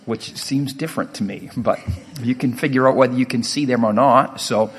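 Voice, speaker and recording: A man's voice: medium pitch, moderate pace. A man acting as stage emcee, formally welcoming a group and calling its leader up in an announcing manner. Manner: announcing, warm welcome phrasing. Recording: hall reverb with crowd noise.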